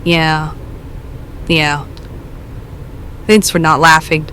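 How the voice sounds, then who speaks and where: A woman's voice: low voice, level tone, fairly bright tone, a little hiss or hum, heavily acted. A woman playing a hesitant, self-doubting caller. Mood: uneasy. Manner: hesitant, halting, self-deprecating.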